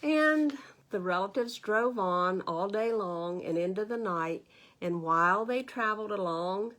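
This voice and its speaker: A woman reads a picture book aloud to young children as a storytime librarian, expressively, with gentle conversational asides and questions. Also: mid-range voice, a little slow.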